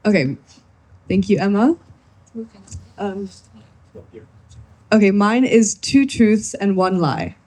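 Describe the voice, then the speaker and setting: A young woman from Kenya speaks to a room of listeners at a workshop. Her voice sits mellow and dark in tone, at a middling pitch. She keeps it friendly and playful, like a quiz host. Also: lively intonation, takes it slowly, long pauses. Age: young adult. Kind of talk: presenting to a live audience.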